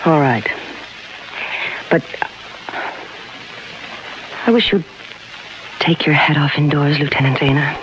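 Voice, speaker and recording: A woman's voice: low-pitched, fairly even intonation, slow, long pauses. A woman playing a cool, flirtatious society widow, poised with coy hesitations, in a 1950s radio crime drama. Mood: resigned, coy. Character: cool, flirtatious femme fatale, wealthy brunette widow. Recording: noisy 1950s radio broadcast recording.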